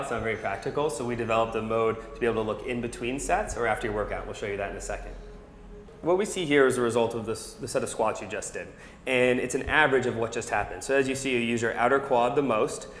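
Fitness brand rep, man in his thirties, rapid instructive delivery, echoey gym recording. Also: medium pitch, a bit bright, slight background noise. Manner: fluent, instructive, coach-like. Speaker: startup product specialist. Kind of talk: product demo explanation. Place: large indoor gym with arched hallway.

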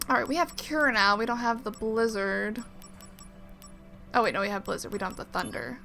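A woman plays through a video game and talks casually as she goes. Her voice is high and very bright, and she reads on-screen text aloud over soft game music. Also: normal speed, vocal fry. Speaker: let's play host.

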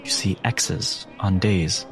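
A man working as game master, narrating a dramatic tabletop horror game deliberately, with pauses between phrases. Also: low-pitched, crowd noise. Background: music.

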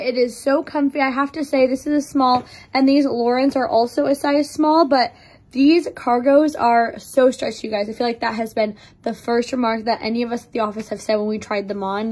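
Bubbly high-voiced woman, slightly raspy. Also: darkish tone. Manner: bubbly and chatty, addressing viewers as you guys. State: slightly raspy from losing her voice. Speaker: clothing brand try-on host. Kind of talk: casual try-on vlog. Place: bright living room with plants. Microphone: phone held up for a mirror selfie.

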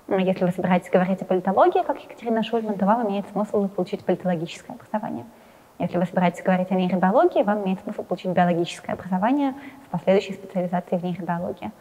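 A young adult woman speaking quickly at a middle pitch, seated in an indoor studio for an interview. She fills her pauses with hesitant sounds. Her voice carries a long reverb. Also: dark tone, lo-fi sound. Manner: hesitant fillers, fast and talkative. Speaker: interviewee, science journalist. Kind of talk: interview answers to camera. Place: indoor studio with plain wall, seated in armchair.

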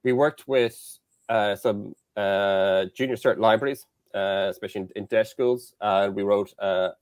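A young Irish man with a fairly low voice explains technical work in a halting way, full of fillers. His headset audio is dry and close. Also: steady tone, dry recording. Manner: hesitant, lots of fillers and restarts. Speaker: guest, satellite engineer. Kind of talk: casual interview chat. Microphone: headset on video call.

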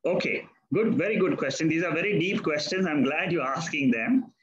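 A man's voice: on the high side, lively intonation, a little fast, reverberant, lo-fi sound. Older man teaching over a video call. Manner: patient, explanatory, affirming the student often. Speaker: Vedanta teacher. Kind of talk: answering questions in an online class.

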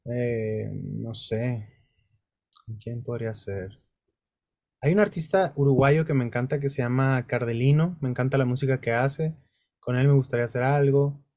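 Mellow-voiced singer on a video call. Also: male voice, medium pitch, steady tone, moderate pace, dry recording, clean studio sound. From Culiacán, Sinaloa, Mexico. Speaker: singer, interview guest. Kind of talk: relaxed interview answers. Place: dim indoor room with lamp light.

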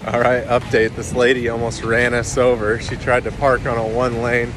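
A man's voice: medium pitch, monotone, moderate pace, noisy background. A man in his twenties chatting casually to viewers outdoors on a handheld camera, in a laid-back, folksy way. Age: 20s. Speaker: travel vlogger. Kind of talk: casual vlog talk. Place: outdoors, beach and town street. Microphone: handheld or body-worn action camera. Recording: loud music behind the voice.

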